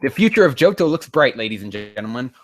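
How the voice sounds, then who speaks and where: A man's voice: medium pitch, sing-song, fast, dry recording. A man hosting a sarcastic reaction reading of fan fiction, with a profane, mocking manner prone to exasperation. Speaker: host.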